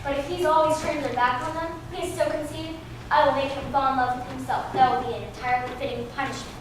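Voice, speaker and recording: A woman's voice: high voice, steady tone, quick pace, close to an everyday voice. Stern, vengeful divine queen for stage reading, played by a woman; far-off mic, noisy echoing room. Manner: scolding, imperious. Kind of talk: readers theatre stage performance.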